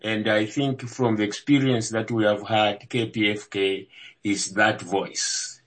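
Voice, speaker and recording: An older man from Zambia and South Africa introducing himself as a candidate at a public meeting, speaking in a measured way with frequent hesitations. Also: lowish voice, level tone, a bit bright. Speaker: board candidate.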